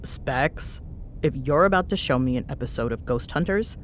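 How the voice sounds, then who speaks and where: A woman's voice: rumbling low, animated, moderate pace, a little hiss or hum. A woman playing a young tsundere ghost hunter, teasing, bossy and secretly fond, in an audio roleplay with sarcastic banter. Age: young adult. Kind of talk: audio roleplay spoken to a listener.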